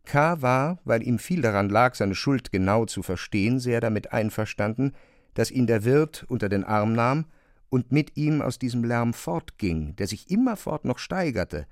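A man's voice: mid-range voice, animated, normal speed, dry recording, clean studio sound. A man reading scripted literary narration for a radio play.

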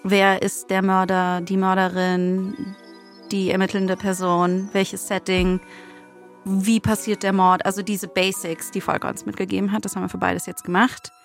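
A woman's voice: medium pitch, little pitch movement, normal speed. A woman co-hosting a podcast in casual, conversational speech. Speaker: podcast co-host. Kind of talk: casual chat. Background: faint music.

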